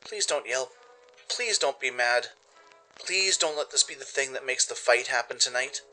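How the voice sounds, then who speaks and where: A man's voice: mid-range voice, normal speed, sharp tone, soft background music, voice pushed up, low-quality recording. Man voicing a timid, pleading young filly. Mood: embarrassed, pleading. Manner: hesitant, pleading, whimpering. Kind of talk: narrated radio play.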